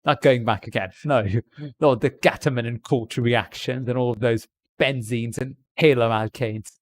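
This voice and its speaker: A man's voice: mid-range voice, fairly even intonation, moderate pace. A man doing a put-on British accent, chatting on a live stream from India and giving rambling, jokey tips.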